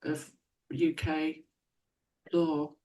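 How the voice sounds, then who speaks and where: A woman's voice: sits low, monotone, extremely slow, dark tone. A woman co-hosting a podcast, responding in a hesitant, appreciative, conversational way. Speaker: podcast co-host. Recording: distant from microphone.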